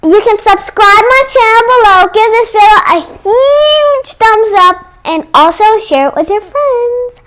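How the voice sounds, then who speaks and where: High-pitched young girl reading stories aloud slowly. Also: expressive, a bit bright. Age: child. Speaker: storytime host. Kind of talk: read-aloud storytelling for children.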